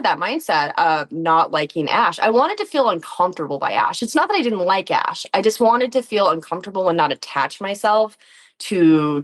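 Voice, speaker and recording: A woman speaking as an interview guest in casual, reflective chat, often laughing as she talks. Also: lowish voice. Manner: giggly, laughs often while talking. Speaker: guest, player and actor on a tabletop game show.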